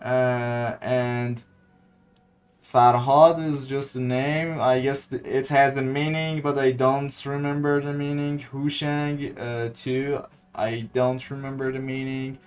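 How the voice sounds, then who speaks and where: Slow, hesitant male gaming commentator. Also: mid-range voice, flat delivery, clean tone, soft background music. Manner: hesitant, thinking aloud, repeating words. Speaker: gaming streamer. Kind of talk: casual gameplay commentary.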